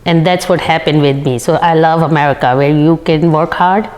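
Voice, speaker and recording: A woman in her fifties with a Pakistani accent, answering interview questions in halting, non-native English. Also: low voice, fairly even intonation, darkish tone. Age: 50s. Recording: reverberant hall-like room.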